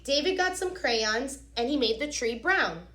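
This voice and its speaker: A woman with a fairly high voice reads a children's story in a very echoey room. She sounds like a teacher, expressive and instructive, stepping out of the text to explain it directly to her young listeners. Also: a little slow.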